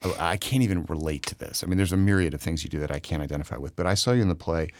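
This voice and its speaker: Fast-talking deep-voiced host. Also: male voice, no room sound, clean studio sound. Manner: enthusiastic, animated questioning. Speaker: interview host. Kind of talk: conversational interview.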